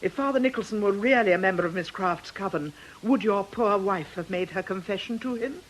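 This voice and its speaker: A woman plays a principled British nun in a radio play. She speaks in a measured, proper way, firm and gently reproving, over a faint recording hiss. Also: medium pitch, close to an everyday voice, rough recording. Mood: calm, reproving. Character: principled, composed nun, firm and fair-minded. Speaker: radio actor. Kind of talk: radio drama acting.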